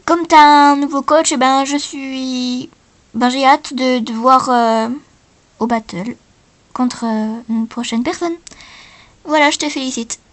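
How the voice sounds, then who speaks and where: A woman playing a hesitant, encouraging singing-contest coach in an improvised role-play, talking in a halting, rambling way. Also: high-pitched, steady tone. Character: hesitant, encouraging talent-show coach judging singers.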